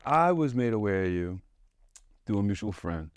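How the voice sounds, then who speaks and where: A man hosting a podcast in an excitable, rambling way, full of restarts and fillers. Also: fairly low pitch, normal speed, no room sound. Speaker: podcast host. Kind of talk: casual chat.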